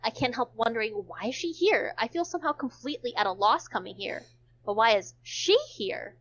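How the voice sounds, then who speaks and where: A woman's voice: a little high, lively intonation, a little fast, fairly bright tone, a little hiss or hum. A woman giving an amateur dramatic reading of a visual novel as narrator, sometimes stumbling over words, on a dull lo-fi recording. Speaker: narrator in a group read-along.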